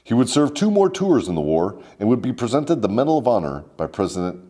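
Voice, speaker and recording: A man's voice: mid-range voice, sing-song, fairly quick, dark tone. A man hosting a scripted comedic military history story, mock-formal and sardonic with salty slang. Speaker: host and storyteller.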